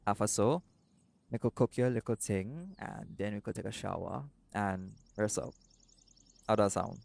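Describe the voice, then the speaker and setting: A man voicing a doting, laid-back seagull shifter boyfriend in an intimate audio roleplay, speaking softly and affectionately. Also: on the low side, normal speed, gravelly, no echo. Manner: soft-spoken, affectionate, creole-inflected speech.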